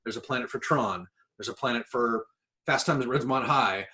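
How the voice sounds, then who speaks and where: A man's voice: mid-range voice, brisk, over a noisy crowd. A man chatting as a podcast panelist, blunt and casually profane. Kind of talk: casual chat.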